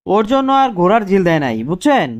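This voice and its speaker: A man voicing a cheeky, quick-witted cartoon job candidate who explains things deferentially in colloquial Dhaka-accented Bangladeshi Bengali. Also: high voice, sing-song. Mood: confidently explaining. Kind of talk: comic cartoon dialogue.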